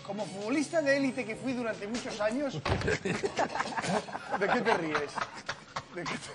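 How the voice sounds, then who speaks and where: A man's voice: high-pitched, animated, slow, over loud music. A man, hosting a comedy TV sketch with laughing, teasing banter. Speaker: sketch show host. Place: TV studio set.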